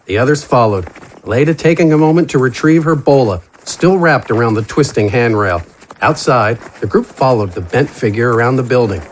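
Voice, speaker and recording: A male narrator reads a scripted fantasy story. His pitch sits in the middle range for a man, and the delivery is dramatic storytelling. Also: lively intonation, normal speed, slight background noise, rough recording.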